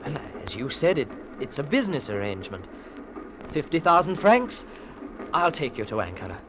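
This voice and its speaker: A man's voice: a little high, big pitch swings, moderate pace, background noise. An actor playing an earnest, flustered young Englishman with an English accent, genteel and polite in 1940s radio drama acting. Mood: eager. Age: young adult.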